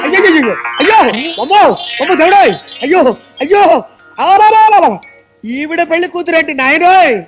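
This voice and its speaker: An actor playing a panicky, melodramatic wedding priest who wails and laments theatrically. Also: male voice, very high voice, fast, soft background music. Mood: wailing. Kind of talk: film comedy acting.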